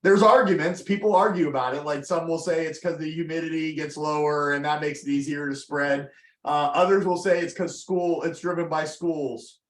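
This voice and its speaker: Slightly high-voiced male researcher, chatty explainer, roomy echo. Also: steady tone, normal speed. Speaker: seminar presenter, disease researcher. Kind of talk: academic discussion.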